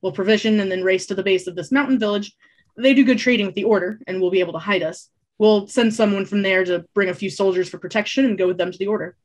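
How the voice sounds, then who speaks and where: A woman voicing a practical, weary older man who lays out plans in a plain, matter-of-fact way, with a slightly husky tone. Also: mid-range voice, steady tone, fast talker, close to an everyday voice.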